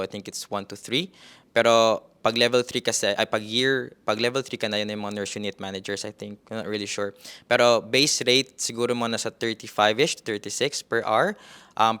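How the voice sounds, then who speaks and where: Filipino man, low smooth voice. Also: bright, forceful tone. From Philippines. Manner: mixes Tagalog and English, many fillers and restarts. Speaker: guest, registered nurse. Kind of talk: casual interview answers.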